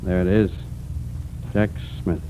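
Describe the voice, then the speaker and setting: A man's voice: sits low, flat delivery, slow, over music. An actor, a man, playing a folksy, obliging tourist camp owner in a 1940s radio drama, hesitant and helpful. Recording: narrow, noisy 1940s radio recording.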